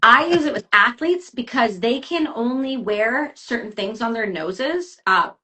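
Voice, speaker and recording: A woman coaching athletes talks through sleep habits in relaxed podcast chat, full of practical tips and quick side remarks. Her voice sits a little high and rings bright and clear. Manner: chatty, advice-giving, quick asides. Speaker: health coach.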